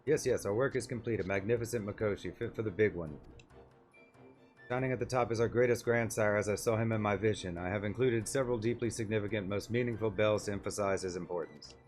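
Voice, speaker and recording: A man's voice: lowish voice, fast talker, darkish tone, soft background music, natural voice. A man voicing a small, eager catfish creature from a game, fussy, formal and wordy. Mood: proud. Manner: formal, repeats itself in synonyms.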